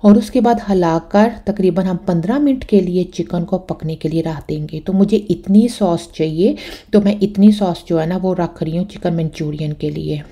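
A woman with a Pakistani Urdu accent talks through a recipe in a chatty, step-by-step voice-over, tagging her sentences with little asides. Her voice sits low and sounds very dark and mellow. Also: moderate pace, slightly rough. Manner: chatty, step-by-step explaining with frequent "na" tags. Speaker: cooking channel host.

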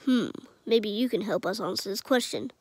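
A man's voice: high-pitched, normal speed, dry recording. Puppet creature for a children's parody, male actor: understated, mild, hesitant, faintly baffled delivery. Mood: puzzled.

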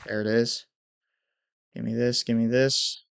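A man's voice: mid-range voice, flat delivery, unhurried, creaky, clear, resonant tone, no echo. A young man with a stuffy nose giving casual commentary on his gameplay over a headset mic in a small room at home. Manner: hyped intro, then muttered play-by-play. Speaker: gaming YouTuber.

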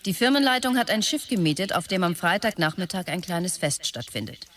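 A man's voice: high voice, lively intonation, a little fast, a bit bright. An actor playing a visionary, demanding male startup executive in a radio drama, speaking tersely and emphatically. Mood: visionary, resolute. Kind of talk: radio drama, characters dictating messages.

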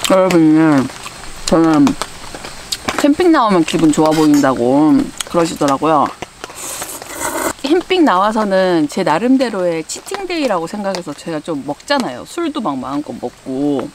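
A woman's voice: sits low, sing-song, normal speed, background noise. A woman from South Korea chatting casually to viewers as a solo camping vlogger, with little asides and exclamations as she cooks and eats outdoors. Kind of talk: casual solo vlog chat. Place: outdoors at a campsite at night, beside a car. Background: music, sizzling food.

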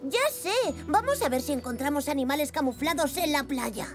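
Eager, high-voiced little girl zebra. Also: animated, normal speed, music in the background. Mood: eager, friendly. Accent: Castilian Spanish. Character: eager young girl zebra, helpful and curious schoolgirl. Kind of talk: children's animated series dub.

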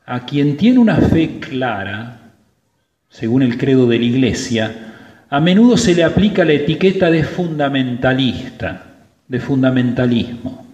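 A priest preaching a sermon at Mass, slow and emphatic, stressing key words. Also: male voice, medium pitch, expressive, a little mellow, dull audio. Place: church. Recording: roomy church with long reverb.

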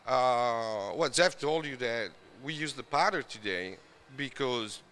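A man's voice: medium pitch, takes it slowly, fairly bright tone, a little hiss or hum. A man from Sardinia with an Italian accent, talking casually and hesitantly in an interview, often saying "you know". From Sardinia, Italy. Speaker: glass artist.

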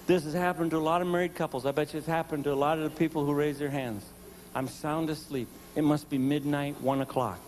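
A man in his forties doing stand-up comedy with a handheld mic on a theater stage, telling a story in an animated way with mock-calm asides. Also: fairly high pitch, fast talker. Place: theater stage before a large audience. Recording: live stage recording with laughing crowd.

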